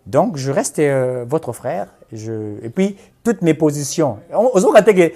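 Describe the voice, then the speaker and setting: A man's voice: a little high, sing-song, slow pace, warm tone. A man with a Congolese accent speaking as a political activist in an interview, emphatic and impassioned, repeating points and switching between two languages.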